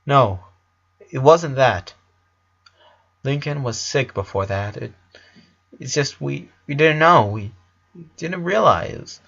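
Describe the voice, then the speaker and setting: A man's voice: mid-range voice, expressive, slow, vocal fry, long pauses, a bit bright, exaggerated voice. Hesitant, shaken mother voiced by a man, lo-fi. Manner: hesitant, trailing off. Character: shaken, gentle mother struggling to explain. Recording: dull lo-fi recording.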